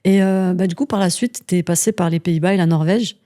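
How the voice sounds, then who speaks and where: A woman hosting a relaxed interview. Her voice is low and mellow. She phrases her questions in a friendly way, hesitating on fillers between words. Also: brisk. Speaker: interviewer host.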